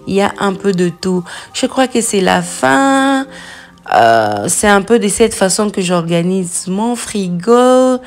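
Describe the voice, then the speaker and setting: A woman in her thirties, a home vlogger, chatting slowly through a kitchen tour and cooking steps, often pausing with "euh" and using English words for food. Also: mid-range voice, very animated, soft background music.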